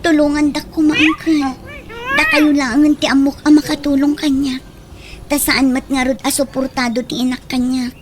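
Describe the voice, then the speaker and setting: A woman as a high-pitched pleading young daughter. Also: little pitch movement, normal speed, natural voice. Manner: pleading, insistent. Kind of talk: radio drama acting. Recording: loud background noise.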